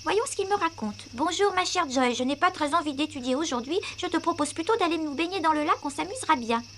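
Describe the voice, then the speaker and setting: A woman's voice: high voice, expressive, quick pace, fairly bright tone, a little hiss or hum. A woman voicing an eager, playful, cajoling young child in a dubbed cartoon, chatty and exclamatory. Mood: reading aloud. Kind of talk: animated cartoon dub acting.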